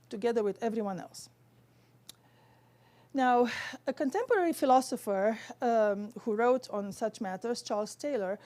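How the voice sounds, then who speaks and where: A woman with a Romanian accent giving an academic theology lecture in careful, halting, scholarly phrasing. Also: on the high side, darkish tone.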